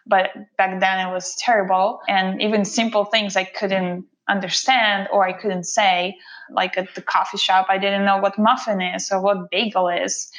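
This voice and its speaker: A woman's voice: medium pitch, level tone, fairly bright tone. A woman from Russia with a Russian accent, a guest talking reflectively about her career in an interview. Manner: reflective, unhurried, with fillers and restarts. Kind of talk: conversational interview.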